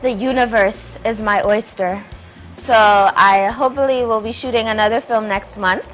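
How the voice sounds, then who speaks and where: A woman's voice: a little high, steady tone, normal speed, faint music behind, low-quality recording. A woman, a playwright and director, talking conversationally as an interview guest.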